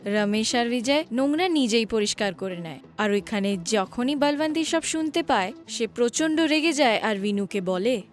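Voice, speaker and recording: A woman narrates a cartoon tale in a scripted storybook style. Her voice is on the high side. Music plays behind her. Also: animated, normal speed.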